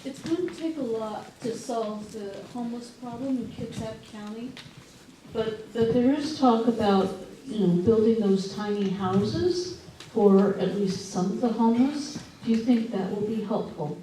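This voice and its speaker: A woman in the audience asking a question in a measured, slightly hesitant way. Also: fairly high pitch, mellow, a little hiss or hum. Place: church sanctuary. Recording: echoey hall from far off.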